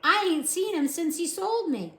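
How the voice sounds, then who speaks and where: A woman voicing a loud, simple twelve-year-old servant girl who is nearly deaf and talks in a rustic way, recorded in an echoey room. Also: very high-pitched, big pitch swings, normal speed, pitched above the performer's own voice, full character voice. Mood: eager.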